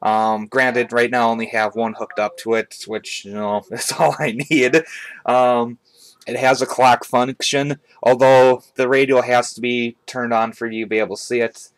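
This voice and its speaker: A man giving a casual, conversational product review as a hobbyist, speaking haltingly off camera into a webcam at home. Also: mid-range voice, level tone, smooth. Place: indoors, small room at home. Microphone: webcam on a tripod, speaker off camera.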